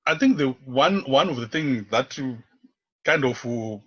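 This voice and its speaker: A man speaking unscripted as a radio interview guest, in a halting, searching way, often repeating words. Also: medium pitch, expressive, a bit bright, breathy edge, dull audio. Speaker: interview guest, community volunteer and activist.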